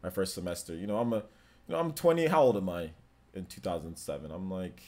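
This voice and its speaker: A man's voice: low-pitched, expressive, fast talker, darkish tone. A man in his thirties streaming from his home gaming room, chatting loosely with viewers, laughing often and repeating himself. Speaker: streamer answering chat questions. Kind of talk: casual live-stream chat.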